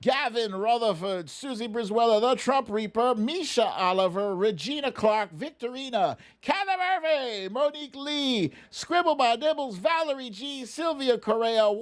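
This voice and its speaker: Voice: mid-range voice, expressive, normal speed, few pauses. An American livestream host chatting casually and reading out viewer names in a playful, self-deprecating way during a song guessing game.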